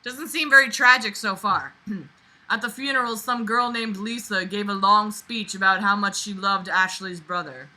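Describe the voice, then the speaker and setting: A woman reading aloud and commenting with exasperated, animated, emphatic reactions that swing dramatically in intensity. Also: medium pitch, normal speed, poor audio.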